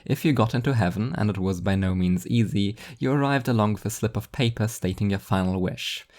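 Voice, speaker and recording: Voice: deep voice, fairly quick, darkish tone. An author reading fiction as narrator, in a scripted storytelling style with a dry, wry delivery.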